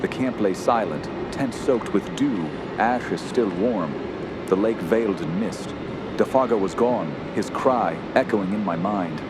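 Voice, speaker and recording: An actor playing a fearful, haunted camper, narrating a horror tale in a solemn, measured and dramatic way. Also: male voice, fairly low pitch, heavy background noise, natural voice. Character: haunted, fearful male wilderness camper telling his tale. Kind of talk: scripted first-person horror narration.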